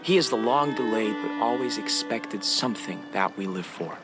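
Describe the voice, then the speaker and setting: A man performs as a wistful young son narrating a radio play. His voice is low, his delivery measured and poetic as he speaks straight to the audience. Music plays clearly behind him. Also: lively intonation, natural voice. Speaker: actor playing a narrator. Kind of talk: theatrical radio drama monologue.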